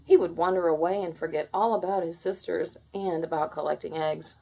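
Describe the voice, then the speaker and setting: A woman narrating a children's storybook in an expressive, deliberate read-aloud manner. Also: fairly low pitch, gravelly, husky, low-quality recording.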